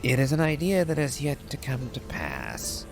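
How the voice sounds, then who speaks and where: A man's voice: medium pitch, huge pitch swings, normal speed, creaky, music in the background, pitched above the performer's own voice. A man voicing a proud, stern ancient dragon from a game, in formal, declamatory phrases. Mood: solemn, resolute. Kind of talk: dramatic reading of game lore.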